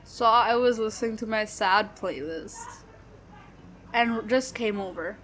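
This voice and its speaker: A young woman voicing a sad best friend from a troubled home who hides her hurt, talking haltingly with nervous little laughs and trailing off. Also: mid-range voice, fairly bright tone, slight background noise, natural voice. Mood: sad, resigned. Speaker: voice actor. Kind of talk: audio roleplay.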